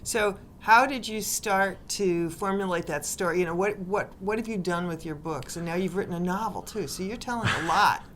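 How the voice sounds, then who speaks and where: A woman's voice: lowish voice, normal speed, hardly any pauses, fairly bright tone. Woman hosting a casual interview. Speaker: interview show host. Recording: noticeable background noise.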